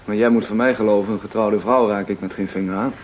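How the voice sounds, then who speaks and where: A man's voice: lowish voice, fairly even intonation, quick pace, lightly acted. An actor playing an earnest, emphatic man in a Dutch radio play, protesting his honour. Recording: very noisy old radio recording.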